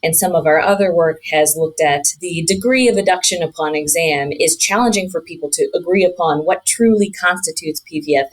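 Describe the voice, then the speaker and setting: A woman speaking as a physician researcher in a podcast interview, explaining findings in a measured, articulate way with clinical terms. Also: sits low, rough recording.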